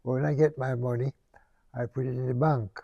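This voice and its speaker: An older man with a gravelly, mellow voice looks back on his life in short, plain phrases. The recording is dry and a little dull. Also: medium pitch, little pitch movement, fairly quick, no room sound. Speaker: local resident interviewee. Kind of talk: personal reminiscence in an interview.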